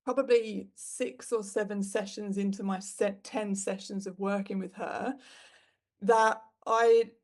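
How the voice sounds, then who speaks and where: Woman, British accent, thirties, frank, chatty, mid-pitched voice. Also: measured pace. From United Kingdom. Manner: frank and animated, with frequent fillers. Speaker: guest. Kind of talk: candid conversational storytelling.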